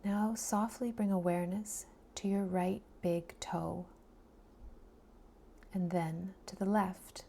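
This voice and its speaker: Woman doing slow guided meditation, soft breathy almost whispery voice, gravelly fry at times, soothing w long pauses. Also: mid-range voice, fairly even intonation. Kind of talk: guided body scan meditation.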